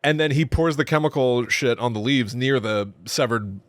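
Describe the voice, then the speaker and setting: A man's voice: mid-range voice, moderate pace, no echo, clean studio sound. A man co-hosting a podcast, talking casually about film and acting out bits as he retells them. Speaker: podcast co-host. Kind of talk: casual film discussion chat.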